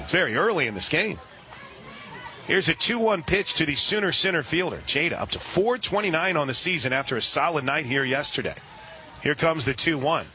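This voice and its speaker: A man calling a softball game live on radio, chatty and excitable, slipping quick asides between pitches. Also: background noise. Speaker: radio play-by-play announcer. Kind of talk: live radio sports commentary. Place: outdoor ballpark press area.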